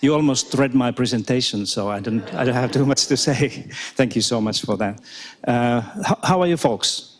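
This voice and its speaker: Finnish guy giving a keynote, chatty and halting, jokes with the audience a lot. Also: mid-range voice. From Finland. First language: Finnish and Swedish. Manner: chatty, hesitant, laughs often, jokes with audience. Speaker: keynote speaker. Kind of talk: conference talk. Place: conference hall with live audience.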